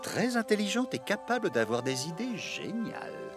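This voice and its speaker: A man's voice: high voice, very animated, moderate pace. A man voicing a kindly, effusive, courtly count with a gallant, emphatic manner in a French-dubbed children's cartoon. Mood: kindly reproving. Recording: loud music behind the voice.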